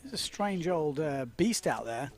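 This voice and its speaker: A man's voice: on the high side, very animated, unhurried. A man giving chatty, upbeat live commentary on a windsurfing race, joking with his co-commentator. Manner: chatty, upbeat, jokey banter.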